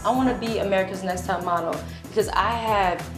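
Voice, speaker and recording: A woman contestant talks with grand self-assurance. Her pitch is middling. Music plays clearly under her voice. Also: brisk, roomy sound. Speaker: model competition contestant. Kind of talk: reality show confessional.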